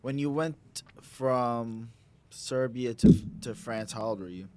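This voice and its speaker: A man's voice: medium pitch, slow pace, dark tone, slight background noise. A man co-hosting a casual podcast, telling stories in loose, slangy talk with swearing. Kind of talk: casual chat.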